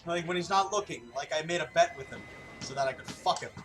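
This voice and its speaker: A man's voice: on the high side, quick pace, fairly bright tone, faint music behind. A man hosting a gaming video, chatting casually in a sweary, joking way while he plays. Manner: sweary, jokey. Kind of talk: casual chat while playing a game.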